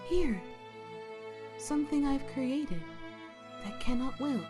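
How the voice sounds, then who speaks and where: A kind, naive goat-woman goddess acted by a woman for a fan comic dub. Her voice sits high and moves slowly, with careful, formal phrasing and a gentle, motherly earnestness. Music plays underneath. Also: animated. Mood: tender.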